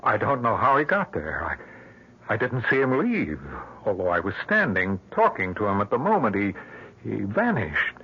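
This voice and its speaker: An actor playing a distraught, haunted father in a 1970s radio drama, speaking in a halting, intense way. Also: on the low side, wildly swooping pitch, husky, full character voice. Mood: uneasy, halting. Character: distraught grieving father, haunted, convinced his dead daughter calls. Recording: dull lo-fi old radio broadcast.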